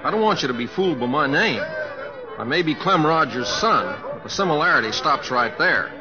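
An actor playing a folksy, humble, teasing young cowboy with a Southwestern American accent and a homespun, hesitant drawl, in old radio drama style. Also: male voice, fairly high pitch, lively intonation, fairly quick, crowd noise, close to an everyday voice. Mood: playful, humble. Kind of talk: 1940s radio acting. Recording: muffled old radio broadcast with music.

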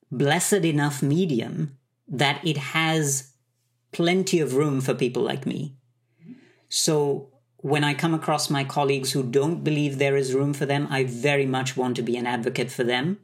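Thoughtful man from Sri Lanka. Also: medium pitch. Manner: thoughtful, measured, some hesitations. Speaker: conductor, guest. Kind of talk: reflective interview answers.

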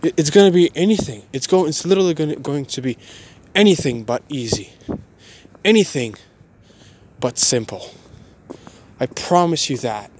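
A man of twenty-one giving a motivational personal monologue in a halting, repetitive, emphatic way. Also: fairly high pitch, expressive, a little mellow, husky.

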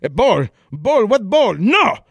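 Excitable Italian caravan guard, broad Italian accent, high voice, very animated, comedic radio play. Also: male voice, takes it slowly, gravelly, slightly rough, no room sound, exaggerated voice. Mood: exasperated. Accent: put-on Italian. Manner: exclamatory, broken-English caricature. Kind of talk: 1940s-style comedic radio play acting.

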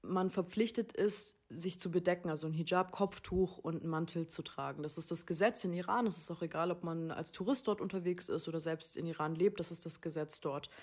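A woman hosting a talk, calmly explaining background information to listeners. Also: fairly low pitch. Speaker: podcast host.

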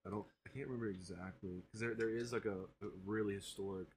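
Deep-voiced man, halting casual talk. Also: fairly even intonation, crowd noise. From grew up in northern Iraq. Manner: hesitant, many ums and restarts. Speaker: podcast co-host. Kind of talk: casual podcast chat.

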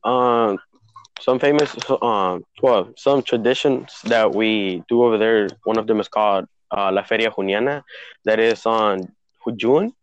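A man's voice: fairly low pitch, unhurried, smooth, dull audio. Rambling young man from Honduras. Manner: rambling, many fillers, mixes in Spanish words. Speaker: podcast co-host. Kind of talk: casual chat.

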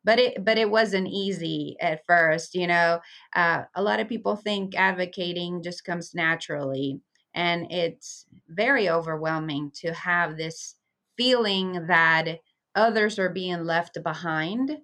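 A woman's voice: lowish voice, a little slow, fairly bright tone. A woman from Venezuela, a community liaison, speaking earnestly and reflectively as a guest in an interview. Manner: earnest, reflective, with frequent fillers. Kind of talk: conversational interview.

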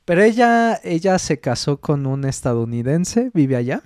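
A young Mexican man with a slightly high voice talks casually into a close mic. His Mexican accent is plain, and he acts out quoted people in lively storytelling. The sound is dry, with no room around it. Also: normal speed, dry recording. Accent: Mexican Spanish. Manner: chatty storyteller, acts out quoted voices, says "mano" a lot. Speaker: podcast co-host. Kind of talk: casual chat.

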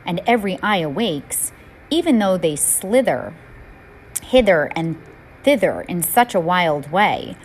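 A woman with a fairly low voice reads playful verse aloud like a teacher to students. Faint noise sits behind her expressive delivery. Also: low-quality recording. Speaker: teacher reading a poem. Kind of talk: expressive poem recitation for listeners.

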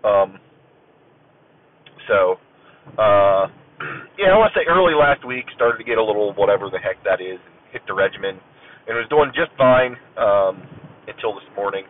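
A man from Ohio hosting his own podcast, rambling casually with many ums, stuffy and clearing his throat as if getting a cold. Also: on the low side, expressive, normal speed. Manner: rambling, many ums and uhs, self-deprecating. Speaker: podcast host. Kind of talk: casual solo podcast chat. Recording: tinny phone-like audio.

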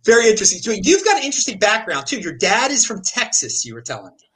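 A man's voice: high voice, huge pitch swings, fast talker, bright, forceful tone, slight background noise. A man hosting an interview, asking curious questions with hesitant fillers. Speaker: interviewer, host. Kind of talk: interview conversation.

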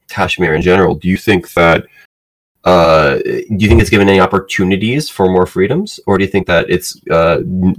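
A man hosting a podcast interview, asking halting questions packed with "uh" and "um". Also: low voice, low-quality recording. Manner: halting, many "uh" and "um". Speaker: podcast host.

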